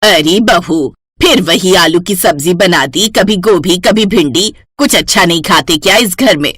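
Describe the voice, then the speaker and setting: A woman's voice: on the low side, a little slow, a bit bright, slightly rough. A woman playing an earnest older mother-in-law in a cartoon moral story, speaking in an admonishing way. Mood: grumbling, demanding. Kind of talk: acted Hindi moral-story cartoon dialogue.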